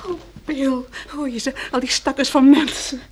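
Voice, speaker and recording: An actress playing an anxious, tender young woman surviving a catastrophe in a radio drama, emotional and halting. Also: sits high, fairly even intonation, darkish tone, slight background noise, lightly acted. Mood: frightened, tearful. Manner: emotional, halting, repeating words.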